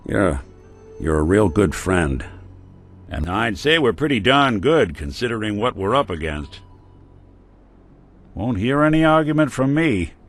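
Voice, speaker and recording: Tired robot detective from a game, low gruff voice, dry drawl, faint music under it. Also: male voice, sing-song, unhurried, long pauses. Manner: laconic, drawling, gruff noir delivery. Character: world-weary male synth detective, dry and wry. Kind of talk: game companion dialogue.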